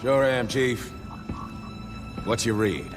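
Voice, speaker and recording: A man's voice: medium pitch, slow pace, music in the background, natural voice. A man playing a cocky, loyal veteran sergeant major in a game, with brash, wry military banter. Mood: focused. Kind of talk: cinematic game dialogue.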